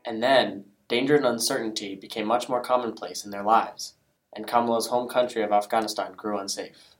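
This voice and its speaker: A man reading scripted feature narration in a measured, explanatory way. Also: fairly low pitch, fairly even intonation, faint music behind, poor audio. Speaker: reporter, narrator.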